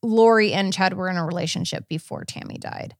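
A woman's voice: medium pitch, brisk, no room sound, clean studio sound. A woman podcast host casually recapping a story in a chatty, informal way, with quoted asides. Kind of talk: casual conversational recap of a court case.

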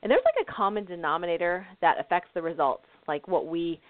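A woman's voice: lowish voice, lively intonation, fairly quick, no room sound. A woman hosting a live call-in radio show, talking in a bubbly, enthusiastic way and laughing often. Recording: tinny phone-line audio.

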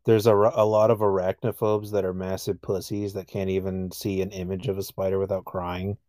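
A man with a lowish, mellow voice chats on a podcast. He is blunt and crude and swears casually. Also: flat delivery, moderate pace, no echo, clean studio sound. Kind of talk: casual chat.

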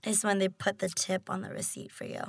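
A young woman in her twenties with a raspy voice, hosting a casual chat and teasing her guest bluntly. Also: medium pitch, completely flat delivery, dry recording. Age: 20s. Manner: teasing, blunt, quick to joke. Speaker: podcast host.

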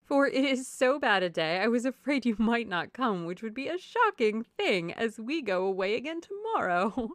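Female reader as silly, good-humored young gentlewoman for a period audiobook; high, exclaiming, gushing. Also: very animated, normal speed, few pauses, no echo, clean studio sound. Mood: gushing, merry. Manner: effusive, giggly, exclaiming. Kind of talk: audiobook character voice, classic novel.

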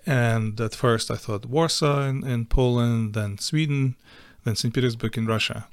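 A middle-aged man from Ukraine, a guest telling his story in a casual interview, halting, with many fillers and restarts. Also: medium pitch, smooth, dry recording.

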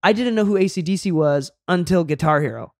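A man's voice: on the high side, lively intonation, fairly quick, creaky, dry recording, clean studio sound. A young man born in Japan, chatting casually with a laid-back, slangy way of talking and frequent laughs. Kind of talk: casual chat.

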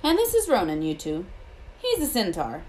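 A woman voicing a gruff but kindly giant male gamekeeper from a storybook, protective and cautious, with rustic, colloquial speech. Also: mid-range voice, huge pitch swings, normal speed, a little mellow, a little hiss or hum, low-quality recording. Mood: friendly, concerned. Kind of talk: storybook character voice.

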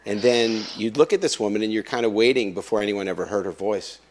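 A man hosts a conversational interview in a very low voice. He often stops and restarts his phrases, filling the gaps with ums and uhs. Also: darkish tone, rough recording. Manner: halting, many restarts and fillers. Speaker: interviewer host.

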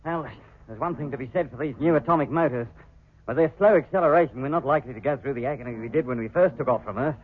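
A man's voice: medium pitch, fast talker, slightly rough, natural voice. An actor playing a level-headed, matter-of-fact spaceship crewman in a 1950s radio drama. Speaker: actor in a radio science fiction serial. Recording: tinny, faintly noisy 1950s radio recording.

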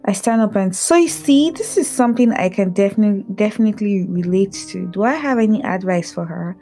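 A woman from Africa who stutters, hosting a candid personal podcast, talking in a halting way with frequent fillers and restarts. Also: mid-range voice, lively intonation, dark tone, soft background music. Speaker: podcast host.

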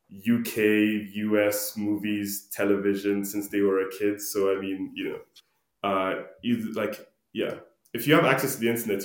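Low-voiced young man, echoey room. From Ghana. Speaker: podcast guest. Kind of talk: casual conversational interview answers. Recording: roomy, echoey voice recording.

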